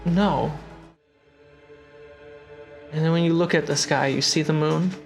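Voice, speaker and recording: Man, higher-pitched voice, unhurried game master narration, dramatic pauses, faint music behind. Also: steady tone, creaky. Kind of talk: cinematic tabletop game narration.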